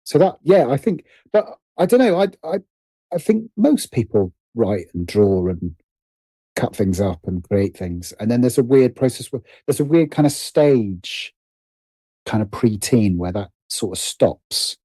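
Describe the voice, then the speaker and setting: A man's voice: mid-range voice, lively intonation, unhurried, mellow, slightly rough, dry recording. A middle-aged British man talking casually and reflectively as an interview guest, hesitant and self-deprecating as he thinks aloud. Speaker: podcast guest, writer and producer.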